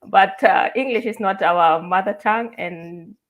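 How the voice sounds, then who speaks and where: Measured woman moderating a panel. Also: mid-range voice, phone-quality sound. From South Sudan. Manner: measured, polite, asks long questions.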